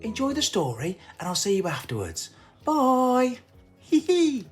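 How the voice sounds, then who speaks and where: Animated, higher-pitched woman hosting children's storytime. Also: measured pace. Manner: playful, exclamatory. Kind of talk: greeting and sign-off to young viewers. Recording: music playing behind the voice.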